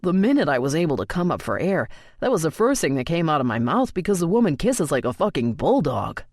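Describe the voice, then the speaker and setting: A woman's voice: low-pitched, big pitch swings, a little fast, a little mellow, no room sound, clean studio sound, full character voice. A woman voicing a cocky, teasing young man. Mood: joking.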